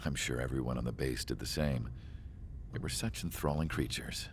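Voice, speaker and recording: An actor playing a reflective storyteller with a deep, gravelly voice, narrating a video game in measured, contemplative phrases. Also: male voice, noisy background. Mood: reflective, wistful. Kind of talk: scripted first-person story narration.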